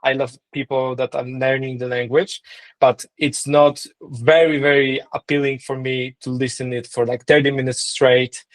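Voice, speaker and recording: A man's voice: medium pitch, level tone, normal speed. A middle-aged man with a Polish accent, a non-native English speaker, being interviewed on a podcast and thinking aloud with frequent restarts and "right?" checks. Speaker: co-founder and marketing lead, guest.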